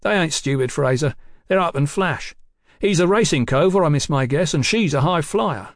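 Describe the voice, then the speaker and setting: A man's voice: a little high, expressive, normal speed, no echo, studio quality, pitched above the performer's own voice. A man voicing a cheeky private detective in an audiobook, slangy and insolent. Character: sly, cheeky Cockney private detective, a vicious rascal.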